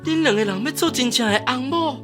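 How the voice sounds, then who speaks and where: Radio drama casting: spurned rich young master, high-pitched, very animated, theatrically indignant. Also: male voice, a little fast, over music. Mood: indignant, wounded. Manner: theatrical, reproachful. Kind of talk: Taiwanese radio drama acting.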